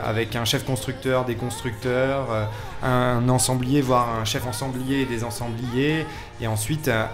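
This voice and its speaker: A man on a casual radio discussion panel, explaining things in a hesitant way. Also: medium pitch. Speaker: panel member. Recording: music playing behind.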